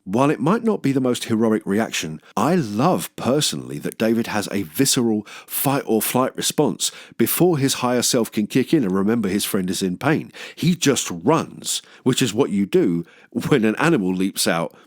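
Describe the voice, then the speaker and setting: A man's voice: fairly low pitch, big pitch swings, moderate pace, few pauses, no echo, studio quality. A man hosting a film review show, moving between scripted analysis and wry, sweary, joking asides. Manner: wry, joking, sweary, acts out imagined quotes.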